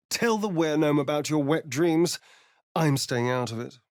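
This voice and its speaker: A vain, flirtatious male vampire rogue for a video game. His delivery is arch and theatrical, swooping widely in pitch and taking its time. The sound is dry and close. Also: lively intonation, measured pace, a little mellow, no echo. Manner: theatrical, arch, languid drawl. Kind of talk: video game character lines.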